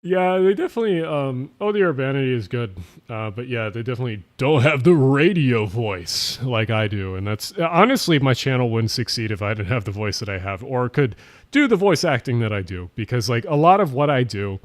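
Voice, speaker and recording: A man's voice: medium pitch, big pitch swings, fairly quick. A man with a South Jersey accent, slightly tipsy, rambling casually as he hosts a late-night stream and answers chat with lots of fillers and restarts. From South Jersey, USA. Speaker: livestream host.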